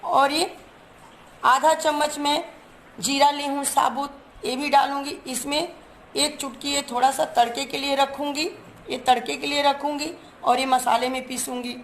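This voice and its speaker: A woman's voice: sits high, level tone, a little slow, slight background noise, poor audio. A woman, hosting a cooking channel and chatting through each step while she shows her ingredients in a home kitchen. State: hoarse from a cold.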